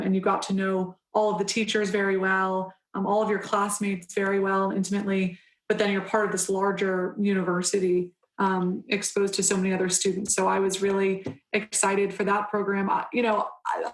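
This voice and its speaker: A young woman answers radio interview questions in an earnest, measured way, often pausing and restarting. Her pitch stays level and flat. The recording has a roomy echo around her voice. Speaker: council member guest.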